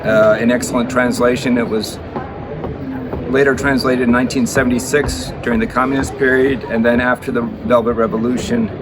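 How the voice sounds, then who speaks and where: A man's voice: medium pitch, level tone, brisk, background noise. A man introducing a reading in a hesitant, casual way with many fillers. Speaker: bookshop owner, guest reader.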